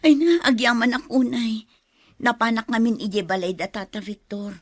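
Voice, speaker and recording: An actress playing a poor, devoted wife, earnest and pleading, in a radio drama. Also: female voice, on the high side, normal speed, no echo, studio quality, lightly acted. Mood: worried, earnest.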